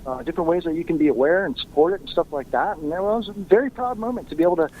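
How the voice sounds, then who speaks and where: A man's voice: on the high side, very fast, narrow, tinny audio, slight background noise. A man, a radio talk show host appearing as a guest, chatting casually with lots of fillers and frequent laughter. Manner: laid-back, laughs often, many fillers. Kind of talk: casual podcast banter.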